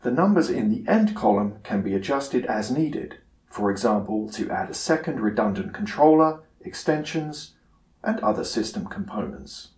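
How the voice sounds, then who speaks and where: A man giving a scripted software tutorial narration in a measured, instructional manner. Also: on the low side, big pitch swings, reverberant.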